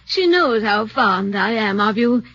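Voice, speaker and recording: An actress playing a fond, doting elderly wealthy woman who pleads gently, in 1940s radio acting. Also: fairly high pitch, animated, unhurried, no echo, exaggerated voice. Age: older. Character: fond elderly rich spinster. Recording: dull 1940s radio broadcast.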